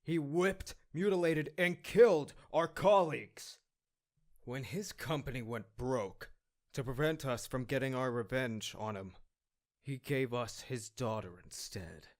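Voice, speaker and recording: A man's voice: medium pitch, measured pace, a little mellow, natural voice. A man voicing an embittered, frightened farm-worker thug in a comic dub, with a grim, aggrieved delivery. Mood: bitter, aggrieved.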